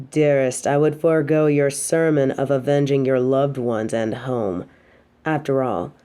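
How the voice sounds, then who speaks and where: A woman's voice: extremely low pitch, warm tone. A woman playing an evil, possessive dictator queen, cold, cruel and seductive, taunting in formal, imperious words in an audio roleplay. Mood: condescending, mocking. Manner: taunting, imperious, formal wording, lingering delivery. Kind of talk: scripted audio roleplay monologue.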